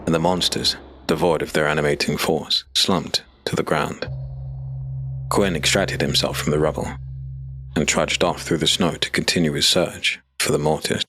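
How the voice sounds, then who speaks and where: Deep-voiced man narrating a dark tale. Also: steady tone. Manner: measured, dramatic storytelling. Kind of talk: scripted audiobook narration.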